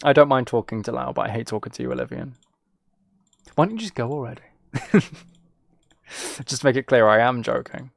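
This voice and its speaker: A man's voice: mid-range voice, expressive, brisk, gravelly, long pauses, dry recording, clean studio sound. A twenty-year-old man chatting casually as he streams a game, rambling along in a joking, teasing way. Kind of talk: casual chat while playing a game.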